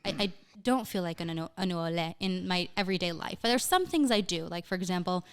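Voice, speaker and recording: Podcast guest: young woman, chatty and upbeat, low-pitched and smooth. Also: lively intonation, brisk. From United States. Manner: chatty, upbeat, laughs often, frequent restarts. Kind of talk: casual conversational interview.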